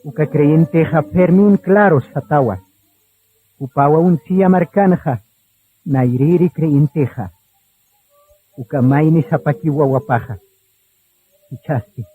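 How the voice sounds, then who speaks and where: A man's voice: fairly high pitch, slightly rough. A man narrating a radio drama in a solemn style, on a narrow phone-like recording.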